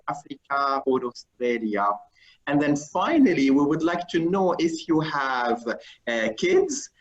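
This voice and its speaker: A man's voice: fairly high pitch, highs cut off. Man moderating a webinar poll. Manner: procedural, pauses with fillers while reading the results. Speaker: webinar host. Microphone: video call.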